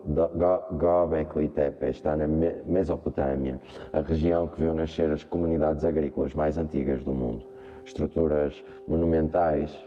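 Man as radio drama storyteller of ancient mysteries, extremely deep voice, dull recording, music behind. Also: mellow. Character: male storyteller evoking ancient monuments and guardians. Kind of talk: dramatic spoken-word narration in a radio drama.

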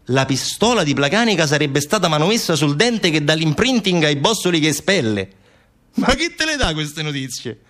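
A man's voice: on the high side, normal speed. A man playing an evasive, sarcastic official in a colloquial, theatrical satirical monologue. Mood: exasperated, defensive. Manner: colloquial, sarcastic, animated rhetorical asides. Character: evasive southern Italian official mockingly defending dubious forensic experts. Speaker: stage actor. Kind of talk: theatrical satirical monologue, one side of a conversation.

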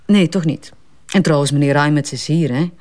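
An actress with a Flemish accent playing a brisk, candid advertising strategist who gets defensive under police questioning, in a naturalistic crime drama. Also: female voice, sits low, a little mellow, natural voice. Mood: composed, firm.

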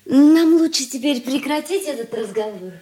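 An actress playing a cool, proud young fiancée in a radio drama, with a composed, curt and formal delivery. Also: female voice, high-pitched, lively intonation, moderate pace, warm tone. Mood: cool, deliberate. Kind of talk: Soviet radio drama acting.

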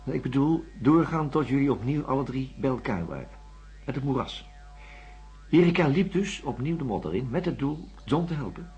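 A man's voice: medium pitch, animated, moderate pace, darkish tone, breathy edge, highs cut off, soft background music. An actor playing an inquisitive, insistent doctor in a radio drama, probing and murmuring as he listens. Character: inquisitive, insistent male doctor debriefing a patient.

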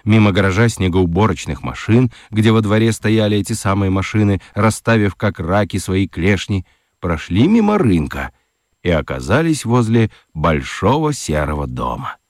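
Мужчина-рассказчик детского радиоспектакля читает выразительно, с драматическими паузами. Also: male voice, fairly low pitch, sing-song, dry recording, clean studio sound. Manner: deliberate, dramatic pauses. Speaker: narrator. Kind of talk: expressive scripted storytelling for children's radio play.

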